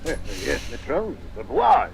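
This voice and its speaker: An eager, blunt police inspector in a vintage radio play. His rasping voice jumps widely in pitch, and loud voices crowd in behind it. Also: wildly swooping pitch, slow, exaggerated voice. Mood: eager, earnest. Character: eager, blunt male police inspector, slightly slow-witted. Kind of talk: old-time radio drama acting.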